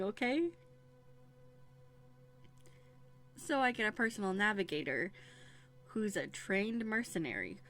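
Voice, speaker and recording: A woman playing a young runaway princess in a roleplay audio drama, playful and plucky, with a conversational, teasing manner. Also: medium pitch. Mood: teasing, cheerful. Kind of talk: scripted one-sided roleplay audio drama. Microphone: close USB condenser microphone. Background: soft music.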